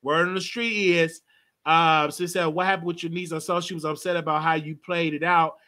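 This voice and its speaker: Host, late 20s male, bright and lively, chatty and quick to laugh. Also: fairly high pitch. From Texas. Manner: chatty, animated, laughs often. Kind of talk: casual live call-in show.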